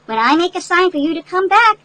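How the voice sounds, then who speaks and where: High, lively older woman reading to children. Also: fairly quick, no room sound. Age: older, great-grandmother. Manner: folksy, explains words to young children. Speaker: storyteller reading a picture book to children. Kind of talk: animated read-aloud with chatty asides. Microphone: headset.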